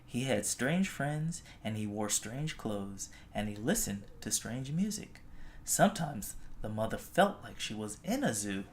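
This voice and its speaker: A storybook reader telling a children's tale expressively, with gentle, drawn-out phrasing. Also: mid-range voice, very animated, slight background noise.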